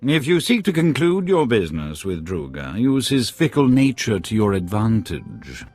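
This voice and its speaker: A man's voice: on the low side, very animated, moderate pace, darkish tone, no echo, faint music behind. A man voicing a polite, scheming alien courtier in a video game, formal and unctuous. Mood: unctuous, sly. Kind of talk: video game cutscene dialogue.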